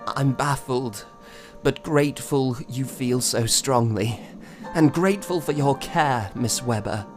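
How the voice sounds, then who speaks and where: A man playing an unwell, proud young composer in a period audio drama, shaken and speaking in formal, halting, old-fashioned phrases. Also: mid-range voice, faint music behind, natural voice. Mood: grateful, humbled. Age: young adult. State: weak, shaken, coughing. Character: unwell, wounded, proud young male composer, courteous and agitated.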